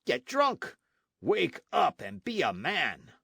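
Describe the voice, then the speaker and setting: A man voicing a gruff, boastful army sergeant who speaks loudly and heartily in an audiobook. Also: sits high, wildly swooping pitch, slow pace, no echo, clean studio sound, voice pushed up, full character voice. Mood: blustering. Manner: blustering, loud and hearty.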